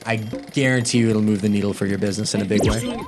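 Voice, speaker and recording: Emphatic Canadian man, low voice, faint music. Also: fairly even intonation, quick pace, a little mellow. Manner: emphatic, advising. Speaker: podcast guest. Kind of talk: advice in an interview clip.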